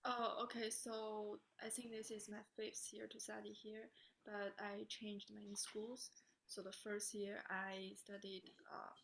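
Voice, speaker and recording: A teenage girl from China answers questions with a chatty, unhurried warmth, filling gaps with likes and ums. Her voice has a gravelly fry at the edges. A faint hiss sits under the audio. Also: medium pitch, flat delivery. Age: late teens. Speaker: student. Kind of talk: casual answers on a video call.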